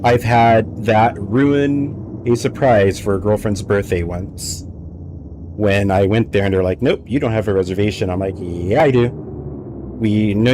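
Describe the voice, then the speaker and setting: A young man with a lowish voice rambles through travel stories while he games live. He speaks into a headset from a home setup, and a faint hum of game ambience sits behind him. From United States. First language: English. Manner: rambling, anecdotal, animated storytelling. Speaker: streamer. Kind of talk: casual live-stream chat while gaming.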